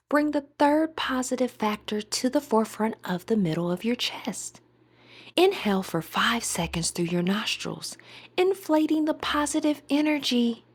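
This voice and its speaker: A woman leading a guided meditation in a soothing, deliberate way, with breathy, whispery word endings. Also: mid-range voice.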